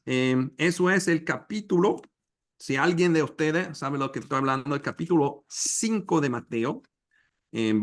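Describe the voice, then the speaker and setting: A man whose first language is English, leading a Bible study in slow, halting Spanish with pauses and restarts over a video call. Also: medium pitch. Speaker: preacher.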